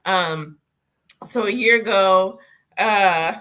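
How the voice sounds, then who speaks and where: A woman's voice: medium pitch, very slow, fairly bright tone, smooth. A woman guest speaking from home in a casual interview, chatty and laughing often between phrases. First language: English. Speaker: guest, former conference attendee. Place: at home with a small child nearby.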